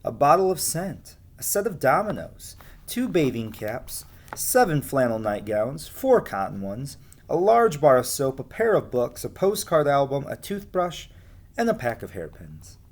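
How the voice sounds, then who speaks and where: Man, mid-range pitch, animated intonation, storybook read-aloud, casual asides, talking directly to kids. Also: unhurried. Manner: expressive, addresses young listeners directly.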